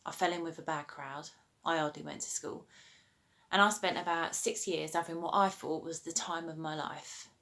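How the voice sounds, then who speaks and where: A woman acting a dramatic monologue as a recovering drug addict, weary and candid, speaking in a measured, deliberate way with pauses. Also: sits low, steady tone, fairly bright tone. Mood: candid, rueful. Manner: measured, deliberate, pausing delivery.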